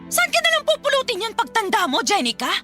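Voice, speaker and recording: A woman playing a harsh, belittling, nagging Filipino mother in a radio drama, with a sharp-tongued, scolding manner. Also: very high-pitched, wildly swooping pitch, fast, soft background music, exaggerated voice. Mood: scornful, scolding.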